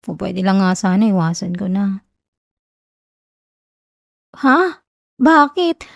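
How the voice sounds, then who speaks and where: A young Filipino woman acting a whiny, shy tsundere college girl confessing love in a soft, hesitant ASMR roleplay. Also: mid-range voice, sing-song, takes it slowly, creaky, no room sound, clean studio sound, full character voice. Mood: flustered, whiny.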